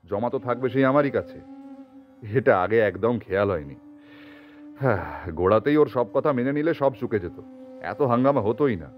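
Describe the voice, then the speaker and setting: A man's voice: mid-range voice, muffled recording, close to an everyday voice. A man playing an irritable, scheming householder and master in a Bengali audio story, in an exasperated, colloquial manner. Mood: sly, dismissive.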